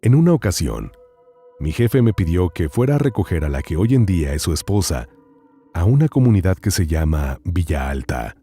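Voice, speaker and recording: Deep-voiced man narrating horror. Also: big pitch swings, husky, faint music behind. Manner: slow, hushed, dramatic reading with long pauses. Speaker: host and narrator. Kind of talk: dramatic horror story reading.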